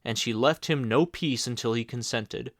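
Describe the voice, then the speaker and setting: A man narrating a classic fairy tale in a steady, clear storybook reading. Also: mid-range voice, normal speed, no echo, clean studio sound. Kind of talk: fairy tale read-aloud.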